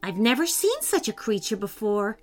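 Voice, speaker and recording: A woman voicing an earnest, excitable young boy prince in a children's story, with wide-eyed exclamations. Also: medium pitch, moderate pace, faint music behind, lightly acted. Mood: curious.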